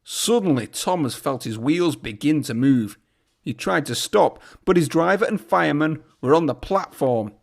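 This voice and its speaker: A man's voice: a little high, lively intonation, moderate pace, creaky. A man narrating a children's storybook aloud as a storyteller.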